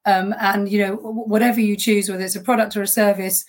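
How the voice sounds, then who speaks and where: Slightly husky woman, middle pitch, earnest founder giving a reflective interview answer. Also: flat delivery, quick pace. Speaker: small business co-founder.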